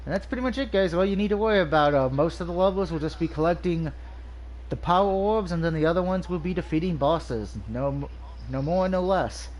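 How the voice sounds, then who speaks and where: A man with a slightly high voice talks through a video game review in a loose, rambling way. He sounds nasal and stuffed up from allergies, pausing often on "um" and restarting his sentences. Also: rough recording. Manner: rambling, frequent ums and restarts. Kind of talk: casual game review over gameplay.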